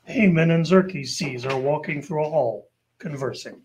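A man's voice: a little high, measured pace, a little mellow, slight background noise, poor audio. A man narrating a scripted old-time radio show, setting the scenes.

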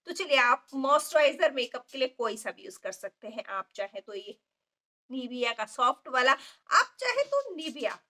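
A woman's voice: high-pitched, sing-song, a little slow, sharp tone. A woman in her forties, a makeup artist, giving casual beauty tips to her viewers. She has a sore throat, uses lots of fillers and keeps checking that viewers follow along. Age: 40s. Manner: chatty and instructive, many fillers, frequent check-ins to viewers. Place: indoor beauty parlour with ceiling fan. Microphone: phone camera held close.

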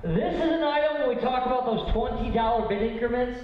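A man's voice: extremely high pitch, brisk. A man calling bids at a live auction in a casual, slangy way. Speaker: auction bid caller. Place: large indoor auction room. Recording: very echoey hall, dull sound, faint noise.

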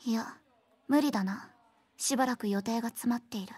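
A woman's voice: fairly high pitch, a little fast, darkish tone, breathy edge. A woman playing an aloof, serious teenage schoolgirl in a Japanese anime game, speaking in a blunt, formal, detached way. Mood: cool, detached.

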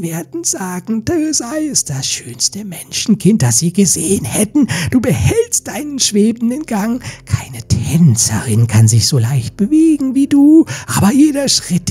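A woman playing a sinister, scheming sea witch in a fairy-tale audiobook, with a hoarse, breathy whisper and slow, ominous delivery. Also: medium pitch, mellow, full character voice.

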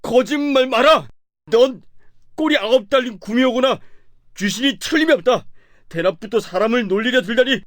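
A man playing a poor, simple young woodcutter in a children's folktale, earnest and excitable. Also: very high-pitched, moderate pace, dry recording. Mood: indignant. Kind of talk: children's animated folktale acting.

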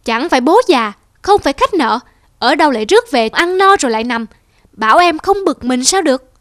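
A woman playing a resentful young wife in a cartoon folk tale, sharp-tongued and sarcastic. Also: high-pitched, sing-song, fairly quick, no echo, clean studio sound. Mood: scornful. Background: music.

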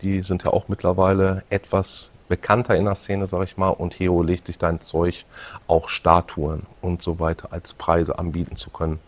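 A middle-aged man hosting a video, chatting casually to viewers and his guest. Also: low voice. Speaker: video host. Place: indoor event room with collection displays.

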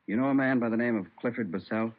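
An American man playing a brisk, direct police detective in a 1950s radio crime drama. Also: mid-range voice, narrow, tinny audio, close to an everyday voice. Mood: businesslike. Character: brisk, plain-spoken police detective questioning a suspect. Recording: noisy, band-limited old radio recording.

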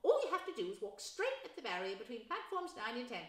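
A woman doing a brisk, kindly plump mother. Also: fairly high pitch, huge pitch swings, voice pushed up. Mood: brisk motherly. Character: kind bustling plump mother, middle-aged woman. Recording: echoey room.

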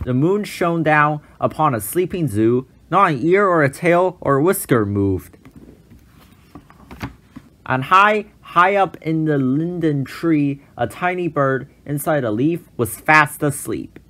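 A man narrating a children's storybook in an expressive, measured read-aloud style. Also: fairly high pitch.

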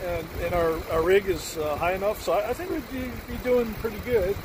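A man in his forties narrating a casual travel vlog from inside a pickup truck, relaxed and rambling with lots of filler words. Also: high voice, brisk, noisy background. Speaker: RV travel vlogger. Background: background music.

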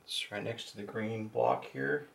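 A man giving a casual hands-on tutorial, talking in short, halting remarks as he works. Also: mid-range voice. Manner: halting, muttered step-by-step remarks while working with hands. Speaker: hobbyist demonstrator. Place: indoors at a tabletop workbench. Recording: distant mic, clear noise, clicks.

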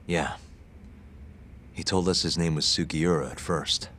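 A man playing a tough, determined detective in a video game cutscene, terse and steady. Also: extremely low pitch, unhurried, a little hiss or hum. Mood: resolute. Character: tough, determined male detective, calm and reassuring.

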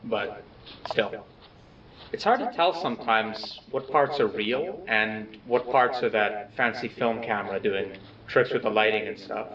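A man playing an awkward, earnest person recording a low-key video letter to someone absent, speaking haltingly and softly self-effacing. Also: slight background noise. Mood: hesitant, subdued. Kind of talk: low-key found-footage video message monologue. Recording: dull, echoey, distant playback with music.

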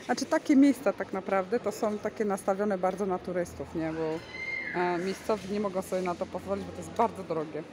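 A woman's voice: medium pitch, a little fast. A woman hosting a casual vlog, chatting enthusiastically and explaining goods as she films with a handheld phone in a large covered market hall. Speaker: vlog host. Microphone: handheld phone camera, speaker behind it. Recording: busy market crowd noise. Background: bustling market crowd.

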